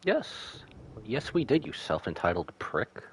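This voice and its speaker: A man's voice: medium pitch, sing-song, measured pace, slight background noise, poor audio. A man giving casual live reactions as a game streamer, slangy and irreverent, talking back to the game.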